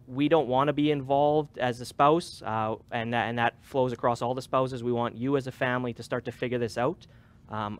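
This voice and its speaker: A man in his thirties answering a question on a webinar panel, casual and hedging, with many fillers. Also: mid-range voice. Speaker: farm transition advisor. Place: stage with dark curtains.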